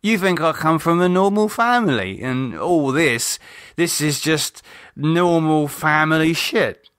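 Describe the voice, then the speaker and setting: A man voicing a bitter, sardonic, self-loathing drunk in an audiobook, halting and sneering as he talks. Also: a little high, animated, a bit bright, clean tone, no echo, studio quality, voice pushed up. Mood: bitter, despairing. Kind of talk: audiobook character dialogue.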